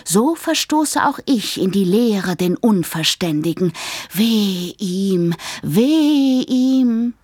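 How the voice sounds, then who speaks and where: An actress playing a solemn mystic who pronounces a curse in an incantatory manner, in a radio play. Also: female voice, medium pitch, lively intonation, slow, darkish tone, husky, no room sound. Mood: solemn, damning.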